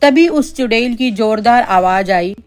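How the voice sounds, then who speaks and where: A woman reads a scripted children's tale as narrator. Her voice sits fairly high, and music plays underneath the storytelling. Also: expressive, unhurried, darkish tone. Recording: background music.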